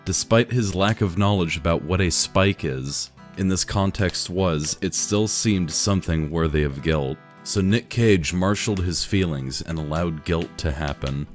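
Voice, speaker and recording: Man, very low voice, deadpan, reading a fan story aloud. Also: unhurried, hardly any pauses, soft background music. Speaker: reader.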